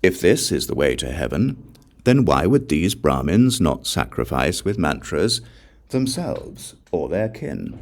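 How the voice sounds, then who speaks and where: A man's voice: low-pitched, creaky, darkish tone, exaggerated voice. A man voicing a defiant outcast king who argues emphatically and rhetorically in a scripture audiobook. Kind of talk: dramatic audiobook reading of scripture.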